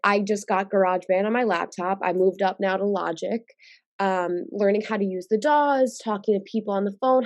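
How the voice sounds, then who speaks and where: A woman's voice: medium pitch, a little mellow, dry recording, studio quality. A young woman in her twenties from New York, congested from a cold, chatting casually with many fillers and restarts in an interview over a video call. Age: 20s. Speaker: singer-songwriter guest.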